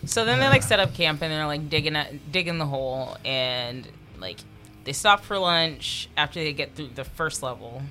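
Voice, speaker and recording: A young man with a fairly high, bright voice chimes in on a casual film discussion. He talks in loose slang as a co-host, with faint music in the background. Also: expressive, normal speed. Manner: slangy, chiming in. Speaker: podcast co-host.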